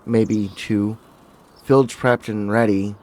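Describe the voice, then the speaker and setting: A man's voice: on the low side, steady tone, slow, a little mellow. A man hosting a gaming video, giving casual commentary and thinking aloud through each step. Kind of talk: casual gameplay commentary with roleplay.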